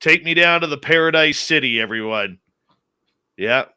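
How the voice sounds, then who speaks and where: A man's voice: fairly high pitch, animated, moderate pace, sharp tone, clean tone. A man hosting a radio show, bantering and teasing his guests in a jokey way. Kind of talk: casual on-air banter.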